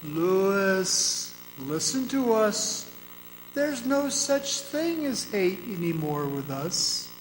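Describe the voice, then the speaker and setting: Man acting slow, solemn ghost in echoey hall. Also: high-pitched, sing-song, exaggerated voice. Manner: solemn, deliberate, admonishing. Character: solemn, forbidding male ghost of a murdered man. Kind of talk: old-time radio drama acting on stage. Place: performing arts center hall. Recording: echoey hall, faint noise.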